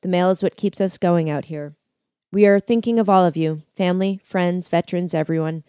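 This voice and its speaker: A woman's voice: fairly low pitch, brisk, warm tone, clear, resonant tone, dry recording, studio quality, natural voice. A woman voicing an earnest mother of a deployed soldier, reading letters aloud to a crowd.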